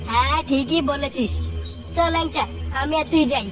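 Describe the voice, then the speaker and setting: A woman's voice: high voice, normal speed, close to an everyday voice. A woman voicing a bossy, foul-mouthed young male leader of a gang of village friends in a comic cartoon, brash and scolding. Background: music.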